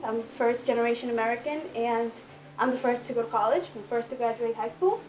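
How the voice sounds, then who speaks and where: A young woman in an interview, reflecting calmly on how a youth jobs program changed her life. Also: on the high side, fast talker, music in the background, poor audio.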